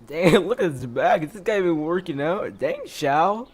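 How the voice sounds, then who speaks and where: A man's voice: on the high side, lively intonation, normal speed, a little hiss or hum. A man giving casual, slangy gameplay commentary, reacting with amusement as he plays. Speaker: gamer commentating on playthrough. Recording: loud game music under voice.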